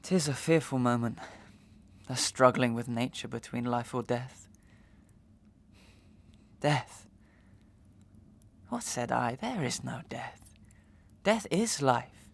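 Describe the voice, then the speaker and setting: Haunted, gravelly gentleman declaiming slowly. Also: medium pitch, animated. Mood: despairing. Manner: declamatory, halting, overwrought. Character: anguished, haunted gentleman, despairing and half-mad. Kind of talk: Victorian melodrama soliloquy.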